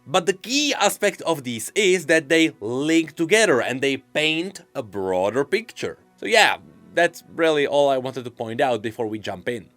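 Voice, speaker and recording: Bright-voiced guy streamer explaining game lore, super animated and playful, bit of music under him. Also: fairly high pitch, moderate pace. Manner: playful, emphatic, swooping delivery. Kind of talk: casual reaction and explainer commentary.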